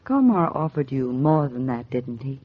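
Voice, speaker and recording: An actress playing a sultry, scheming widow, coy and melodramatic, in a 1940s radio drama. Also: female voice, rumbling low, big pitch swings, normal speed, full character voice. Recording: muffled, hissy 1940s radio recording.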